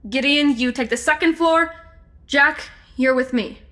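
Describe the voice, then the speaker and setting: A woman playing a brisk, level-headed, matter-of-fact amateur investigator in a comedy audio drama. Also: high-pitched, pushed and bright. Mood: focused.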